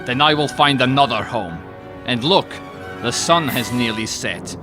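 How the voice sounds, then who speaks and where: A man plays an ancient-evil vampire count, menacing and imperious. He gloats very slowly at a medium pitch, with music clearly behind him. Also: close to an everyday voice. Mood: gloating menace. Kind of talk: audio drama acting.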